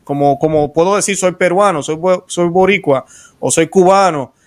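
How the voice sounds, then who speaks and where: A man's voice: high voice, moderate pace. Puerto Rican man hosting with many restarts. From Puerto Rico. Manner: warm-hearted, rambling with restarts. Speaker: host. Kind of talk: faith interview show.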